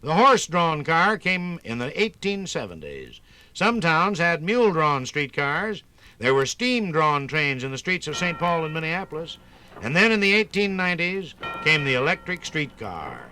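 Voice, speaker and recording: A man narrating a scripted 1950s radio program in a theatrical, folksy storytelling manner with drawn-out emphasis. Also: a little high, sing-song, pushed and bright, no room sound.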